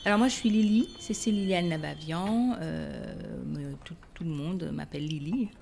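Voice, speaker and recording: A middle-aged woman from the Democratic Republic of the Congo telling her own story conversationally, animated and often searching for her words. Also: lowish voice, normal speed, gravelly, noisy background. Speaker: interview guest, independent export consultant. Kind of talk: personal testimony, conversational storytelling.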